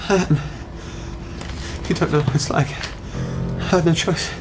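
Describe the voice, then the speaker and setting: A man's voice: a little high, fairly even intonation, pitched above the performer's own voice, close to an everyday voice. Man voicing a terrified, pleading young carriage driver. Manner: pleading, halting. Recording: music under the voice.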